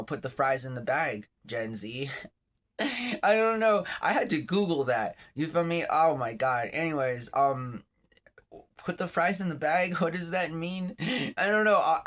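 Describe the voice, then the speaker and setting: A man in his thirties rambles through a laid-back home vlog, chatty and self-mocking, drifting into asides as he goes. His voice sits at an ordinary middle pitch for a man, and he sounds like he is talking from bed just after waking up. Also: fairly bright tone. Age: 30s. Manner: chatty and self-deprecating, many fillers and asides. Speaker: vlogger, channel host. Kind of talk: rambling casual vlog monologue.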